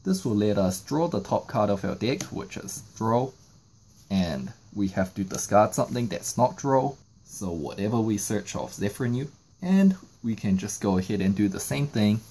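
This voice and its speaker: A man's voice: lowish voice, warm tone, a little hiss or hum, low-quality recording. A man narrating a step-by-step card game tutorial, explaining each move in sequence. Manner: explanatory, walking through moves in sequence. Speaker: trading card game YouTuber.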